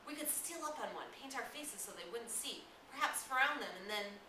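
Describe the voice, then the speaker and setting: A woman reading the part of a fierce, obsessive young boy hunter in a storybook, insistent and halting. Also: on the high side, lively intonation, voice pushed up, close to an everyday voice. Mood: excited, heated. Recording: roomy, distant mic, clear hiss.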